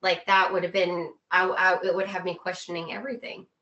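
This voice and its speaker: A woman's voice: on the low side, flat delivery, a little fast, pushed and bright, highs cut off. A woman chatting casually as a podcast guest, empathetic and emphatic. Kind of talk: casual chat.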